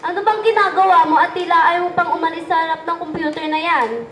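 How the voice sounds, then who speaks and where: A woman's voice: very high voice, fast, fairly bright tone. A woman playing a scolding but caring mother of a young schoolgirl in a puppet show, with a nagging manner. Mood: exasperated scolding. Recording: echoey room.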